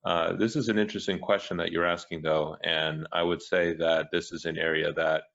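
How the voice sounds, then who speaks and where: A man answering a lecture question in a measured way with frequent pauses and fillers over a video call. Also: low-pitched, level tone, vocal fry, a bit bright. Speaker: co-director and lecturer. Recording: dull muffled web call audio.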